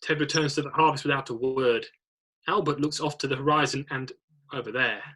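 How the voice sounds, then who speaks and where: Casting: male narrator for a screenplay reading, bright forceful tone with a husky edge. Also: medium pitch, fairly even intonation, brisk, low-quality recording. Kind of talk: scripted reading of screenplay stage directions.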